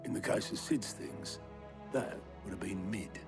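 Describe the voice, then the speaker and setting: A British actor playing a gruff, wry middle-aged man in a fantasy video game, blunt and colloquial. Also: low-pitched, measured pace. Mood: wry, sober. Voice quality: gravelly, creaky. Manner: blunt, colloquial, dryly teasing. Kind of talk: cinematic video game acting. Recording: music behind the voice.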